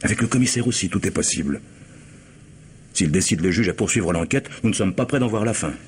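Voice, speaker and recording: A man's voice: low-pitched, animated, brisk. An actor playing a stern, agitated middle-aged man secretly in love, earnest and emphatic, in a French radio play. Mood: worried. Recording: noisy old radio drama recording.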